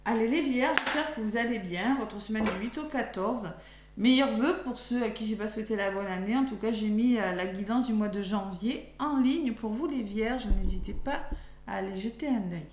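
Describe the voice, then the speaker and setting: A French woman with a nearly lost voice, speaking softly as she gives a chatty weekly tarot reading for her followers. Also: a little high, normal speed. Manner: chatty, thinks aloud while drawing cards. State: losing her voice, talking softly. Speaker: tarot card reader. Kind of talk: weekly astrology card reading. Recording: echoey room with faint hum.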